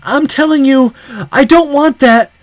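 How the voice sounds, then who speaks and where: A scared teenage girl from a visual novel, acted by a man. The voice is pitched very high and pleads desperately. It is recorded dry and close. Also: measured pace, dry recording. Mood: desperate pleading.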